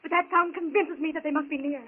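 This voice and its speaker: A woman's voice: high voice, steady tone, fast, lightly acted. An actress playing an earnest, worried young woman in a 1930s radio jungle serial. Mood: distressed. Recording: tinny phone-like 1930s radio recording.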